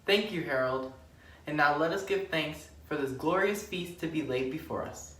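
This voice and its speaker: A woman's voice: sits low, moderate pace, heavy background noise, natural voice. A woman playing a solemn archbishop in a radio play, recorded from a distance in an echoey space. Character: solemn, reverend archbishop giving a blessing. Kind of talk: 1940s radio play acting.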